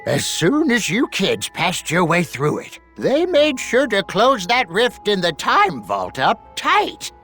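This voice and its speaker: A man playing a shrewd, crotchety elderly deity in an animated video game, folksy and emphatic. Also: high voice, takes it slowly, hardly any pauses, faint music behind, exaggerated voice. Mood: grave, emphatic.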